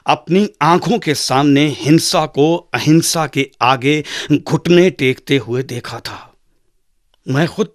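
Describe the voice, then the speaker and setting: A man playing a patient, principled middle-aged father in a radio drama, admonishing in a measured, earnest way. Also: a little high, no room sound, clean studio sound. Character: patient, principled father, gently moralising and grave.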